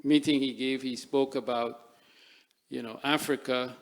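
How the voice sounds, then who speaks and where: An older man from Trinidad giving a reflective conference talk, pausing often on fillers and restarting his sentences. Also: medium pitch, fairly even intonation, poor audio. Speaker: panel speaker. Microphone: microphone at a live event.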